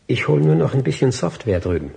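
A man playing a wary, brusque plotter with a creaky voice and a blunt, dismissive manner in a radio drama. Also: medium pitch, big pitch swings, brisk, dark tone. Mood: wary, scornful. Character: brusque, wary man planning covert business. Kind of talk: German radio drama acting.

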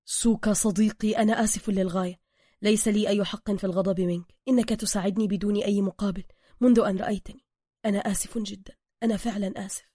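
A woman's voice: fairly low pitch, racing, dark tone, dry recording, clean studio sound. A woman voicing a stern, exacting male masked vigilante who speaks formal, bookish Arabic in an audio story. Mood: contrite.

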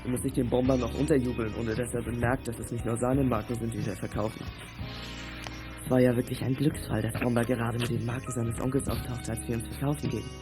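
A nervous young male accomplice, voiced by a man in a youth crime radio play. He sounds anxious and apologetic in a medium-pitched voice. Music plays clearly behind him. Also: flat delivery, quick pace, natural voice, low-quality recording. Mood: uneasy.

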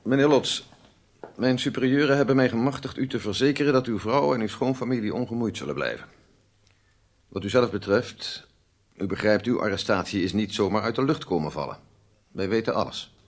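An actor playing a stern police major in a radio drama, formal, measured and insistent in manner. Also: male voice, lowish voice, lively intonation. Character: stern, methodical male police major interrogating a spy.

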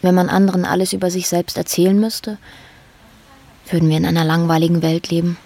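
A woman's voice: lowish voice, creaky, warm tone, slightly rough. An actress playing a guarded, blunt, worldly woman in radio drama dialogue. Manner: evasive, blunt.

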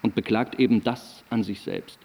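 An eloquent eighteenth-century philosopher with a dark, mellow voice. He recites in ornate, measured rhetoric with a wry edge, as in a literary radio play, and a long hall reverb follows his words. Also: on the low side, fairly even intonation, creaky, slightly rough. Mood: dryly mocking.

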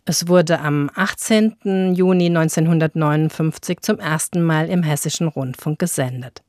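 A woman's voice: sits low, normal speed, darkish tone, dry recording, studio quality. A woman reading a scripted introduction as a radio presenter.

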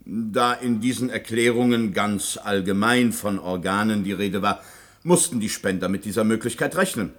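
An actor playing a callous, impatient scientist doctor in a science-fiction radio play, clinical and brusque in manner. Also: male voice, on the low side, normal speed, lightly acted. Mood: matter-of-fact, defensive. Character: callous, impatient male scientist doctor, coolly self-justifying. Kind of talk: German science-fiction radio drama acting.

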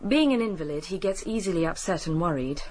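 An actress playing a composed, genteel, guarded British widow in a radio drama. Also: female voice, fairly low pitch, expressive, fast talker, darkish tone. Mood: composed, helpful.

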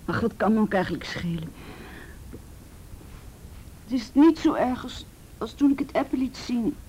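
A woman playing an ashamed, abused teenage girl in a radio drama, halting and repeating herself, often sinking to a breathy whisper. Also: fairly high pitch, lively intonation, dark tone, slight background noise, rough recording. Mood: ashamed, reluctant. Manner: halting, repeats words, tag questions. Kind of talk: naturalistic radio drama acting.

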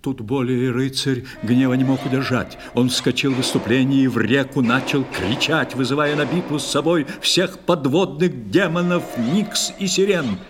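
A man narrating a fairy tale in expressive, theatrical verse for an audio play for children. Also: mid-range voice, normal speed, few pauses, noisy background. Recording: studio narration over soft music.

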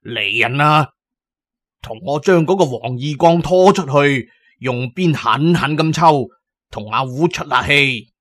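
A man's voice: on the high side, expressive, unhurried, a bit bright. A man voicing a coarse, domineering warlord officer in a Cantonese audio drama. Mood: harsh command. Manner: coarse, commanding. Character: gruff, domineering warlord officer, grown man, magnanimous but brutal.

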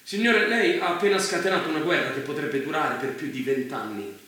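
An actor playing a principled, indignant journalist in a stage drama, insistent and challenging. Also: male voice, fairly high pitch, big pitch swings, a little fast, sharp tone. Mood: indignant, confronting. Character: indignant, principled male journalist confronting a powerful leader. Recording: very echoey room, faint noise.

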